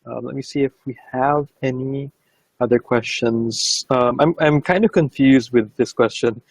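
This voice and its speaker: A man's voice: mid-range voice, a little slow, no room sound, rough recording. A Filipino man whose first language is Bisaya, hosting a live interview in a casual, hesitant way. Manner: casual, hesitant, many fillers. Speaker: host.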